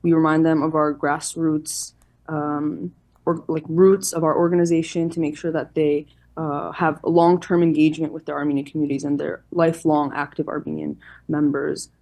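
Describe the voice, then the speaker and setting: Woman interviewee, low mellow voice, pretty flat delivery, earnest and explaining stuff clearly like an org rep. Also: moderate pace. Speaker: youth organization chairperson, interview guest. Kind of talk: formal interview answers.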